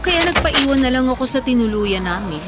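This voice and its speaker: Radio drama friend, female, thirty: very high voice from an actress, touchy and defensive, chatty delivery over music. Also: expressive, fairly quick. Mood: defensive, insistent. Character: hungry, touchy, forgetful thirty-year-old woman friend. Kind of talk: Tagalog radio drama dialogue. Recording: music bed under the voice.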